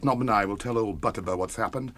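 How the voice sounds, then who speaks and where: An actor playing a grave wilderness guide in a radio drama, speaking with formal, terse authority. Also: male voice, fairly low pitch, quick pace, fairly bright tone, natural voice. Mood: grave, commanding. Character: grave, commanding male wilderness ranger guide.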